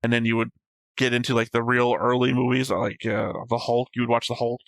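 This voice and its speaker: A man with a fairly flat, even delivery talks casually, like a show host thinking aloud. He rambles through explanations in an informal way, and the sound is close and dry. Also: mid-range voice, fairly quick, no room sound. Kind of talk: casual chat, discussing an anime episode.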